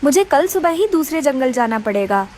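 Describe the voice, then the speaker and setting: A woman performs a small, earnest female cartoon bird with a very high voice. She speaks in plaintive exclamations, with lively swings in pitch, politely pleading her case. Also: normal speed, a little hiss or hum. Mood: distressed, plaintive. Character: distressed, hardworking, polite young female bird. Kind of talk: Hindi children's cartoon character voice.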